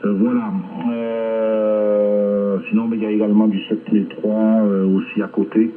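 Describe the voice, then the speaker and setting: Low-voiced man over band-limited ham radio. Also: little pitch movement, narrow, tinny audio. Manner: hesitant, rambling with long filled pauses. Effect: shortwave ham radio transmission. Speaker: amateur radio operator. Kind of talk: casual ham radio contact chat.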